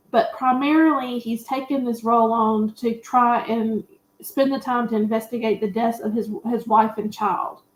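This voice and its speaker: A woman panelist giving a candid, opinionated and colloquial film recap in casual chat. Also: fairly high pitch. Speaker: panelist on a movie discussion show. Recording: roomy, echoey sound.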